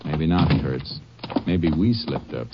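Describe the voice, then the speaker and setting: Deep-voiced, steady Texas Ranger. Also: male voice, takes it slowly, noisy background, heavily acted. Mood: steady, shrewd. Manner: terse, matter-of-fact. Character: steady, shrewd Texas Ranger investigator, adult man. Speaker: lead actor and narrator. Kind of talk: 1950s radio drama acting. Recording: muffled vintage radio recording.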